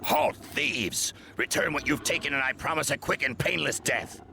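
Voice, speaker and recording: An actor playing an imperious, menacing vault guardian in a video game, with a gravelly voice. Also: male voice, medium pitch, moderate pace, heavy background noise, lightly acted. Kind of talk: video game cutscene acting.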